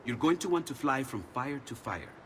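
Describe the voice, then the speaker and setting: An actor playing an excitable, eccentric Renaissance inventor in a video game, with an Italian accent and expressive delivery. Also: male voice, mid-range voice, moderate pace, noisy background, natural voice. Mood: focused, instructive. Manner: animated, expressive. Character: excitable, eccentric male Renaissance inventor, gentle and self-doubting. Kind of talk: video game cutscene acting. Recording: long reverb, loud background music.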